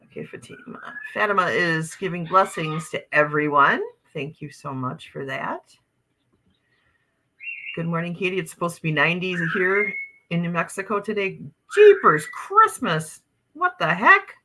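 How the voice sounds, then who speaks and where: A woman's voice: on the low side, lively intonation, normal speed, pushed and bright, low-quality recording. A woman from Wisconsin with an Upper Midwest American accent, chatting casually on a livestream, reading viewer comments aloud in a bubbly, exclamatory way. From Wisconsin, USA. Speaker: livestream host.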